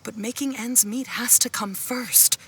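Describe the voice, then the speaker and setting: A woman playing a worried, gentle but firm middle-aged wife and mother in a video game, speaking in an earnest, measured way. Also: on the high side, fairly even intonation. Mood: troubled, firm.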